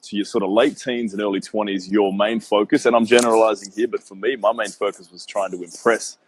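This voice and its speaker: A man's voice: lowish voice, fairly even intonation, a little fast, a little hiss or hum, poor audio. A man in his mid-thirties, a guest talking earnestly about his work in a casual interview, with a rambling style full of "um" and "you know". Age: 30s. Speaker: guest, business owner.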